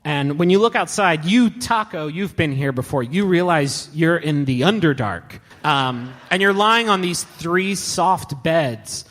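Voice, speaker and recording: A man's voice: on the high side, lively intonation, a little slow, few pauses, a bit bright. A man narrating a live tabletop game as game master, with dramatic, colloquial storytelling. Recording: echoey hall, live show with music.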